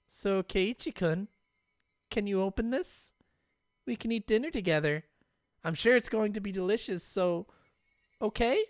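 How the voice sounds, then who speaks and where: An eerily cheerful teenage girl from a horror audiobook, as the reader puts her on. She speaks high and sing-song, coaxing and pleading in repeated phrases. There is a creepy sweetness to her voice. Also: animated, a little slow, pauses often, no room sound, studio quality, lightly acted. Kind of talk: audiobook reading.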